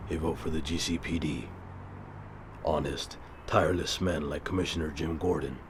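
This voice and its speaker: An actor playing a brooding, stoic vigilante hero in a comic-book audio drama, speaking in a grave, measured, deadpan way. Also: male voice, rumbling low, noisy background. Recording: music behind the voice.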